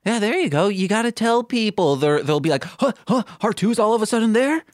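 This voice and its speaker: A man's voice: high voice, animated, brisk, no room sound, studio quality. A man co-hosting a comedy podcast, riffing casually in a goofy, rambling storytelling way. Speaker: podcast co-host.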